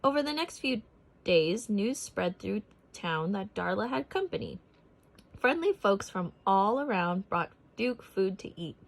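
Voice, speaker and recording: A woman narrating a picture book as a read-aloud for children, expressive and deliberate in her phrasing. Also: mid-range voice, gravelly, poor audio.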